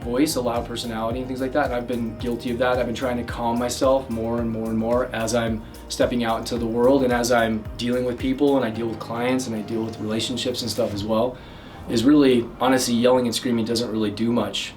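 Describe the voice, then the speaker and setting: A man working as a love and communication coach, giving loud, energetic advice straight to camera, with plenty of fillers and restarts. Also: mid-range voice, brisk, far from the mic, soft background music. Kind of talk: direct-to-camera advice talk.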